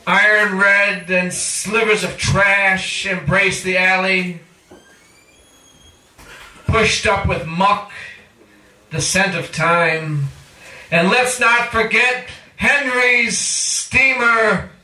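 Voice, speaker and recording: A man reading his own poetry aloud in a theatrical spoken-word style, with dramatic chanting, drawn-out phrases and cries. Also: sits high, steady tone, bright, forceful tone. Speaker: poet reading own work. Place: indoor live reading at a cafe. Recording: distant mic in echoey room.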